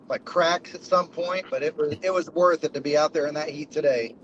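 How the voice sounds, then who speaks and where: A man guest with a hoarse voice, recapping a game in plain talk. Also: on the high side, steady tone, fairly quick, a bit bright, dull audio, slight background noise. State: hoarse from screaming.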